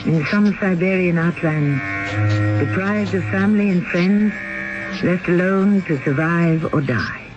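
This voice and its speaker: A man narrating the opening of a radio drama in a measured, solemn way. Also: sits high. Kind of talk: scripted narration. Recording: dull old radio broadcast with music.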